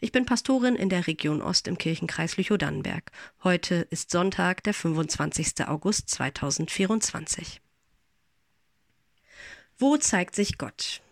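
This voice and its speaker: A middle-aged woman pastor giving a calm spoken devotional, her voice a little congested as she recovers from an infection. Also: lowish voice, unhurried, gravelly, dry recording, clean studio sound. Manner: calm, gentle, reflective address to listeners.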